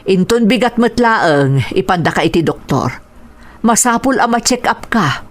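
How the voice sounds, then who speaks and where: An actress with an Ilocano accent playing a gentle, patient middle-aged mother in a radio drama. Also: mid-range voice, expressive, a little slow, natural voice. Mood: worried. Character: gentle, patient, self-sacrificing mother of grown children.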